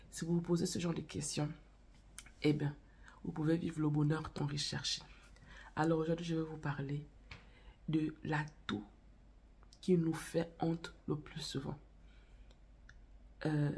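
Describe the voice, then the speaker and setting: Lady vlogger with a cold, raspy and croaky, just chatting to her viewers. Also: low voice, darkish tone. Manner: chatty, storytelling, addresses viewers directly. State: has a cold and a cough. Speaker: channel host. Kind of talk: casual spoken vlog on faith and marriage.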